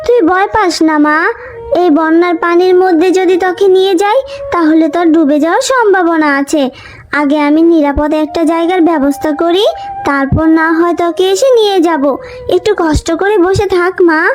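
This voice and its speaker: A tender, worried cartoon village mother, acted by a woman, with a very high voice. She speaks earnestly, as a poor and devout parent caring for her child. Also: normal speed, darkish tone. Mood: reassuring. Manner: soothing, pleading, earnest. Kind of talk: Bengali cartoon fairy-tale dialogue.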